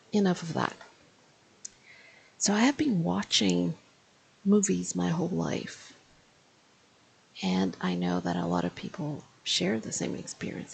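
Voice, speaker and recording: A woman in her fifties talks through a TV review like an English teacher, deliberate and explanatory, slowing to stress her points. Her voice is heavily gravelly with a lot of fry, and a faint hum of room noise sits behind her. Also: fairly low pitch, a little mellow, poor audio. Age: 50s. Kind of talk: spoken video review.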